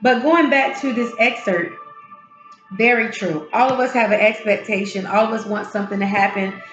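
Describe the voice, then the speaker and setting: A woman hosting a tarot and spiritual channel, her voice a little hoarse, talking slowly and encouragingly from her home healing space. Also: medium pitch, reverberant, dull audio, soft background music. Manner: soft, unhurried, encouraging, with drawn-out pauses. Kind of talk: casual spiritual encouragement talk.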